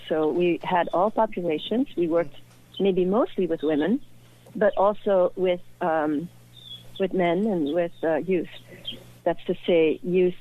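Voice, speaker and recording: A woman from Belgium, a guest and author, talking earnestly and reflectively in an interview. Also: lowish voice. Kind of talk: personal interview. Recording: tinny phone-like line.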